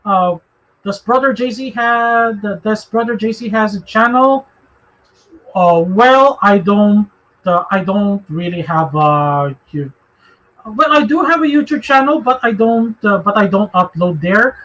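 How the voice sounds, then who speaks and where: High-voiced Filipino man, halting delivery. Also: lively intonation, fairly bright tone, rough recording. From Philippines. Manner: halting, repeats phrases as he goes. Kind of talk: live religious discussion, answering questions.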